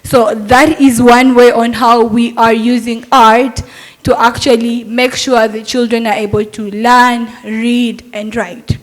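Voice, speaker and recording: A woman's voice: on the high side, flat delivery, unhurried, low-quality recording. A young adult woman, a Montessori teacher, giving an earnest, persuasive talk at a summit and asking rhetorical questions.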